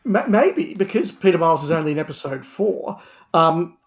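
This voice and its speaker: Guy with a fairly high voice, chatty podcast guest, acts things out as he talks. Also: lively intonation, moderate pace, gravelly. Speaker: guest panellist. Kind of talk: casual podcast discussion.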